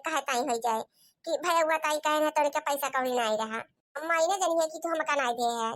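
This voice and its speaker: A woman's voice: high voice, fairly bright tone. A woman with an Awadhi accent playing a practical, plain-spoken village wife in a cartoon comedy.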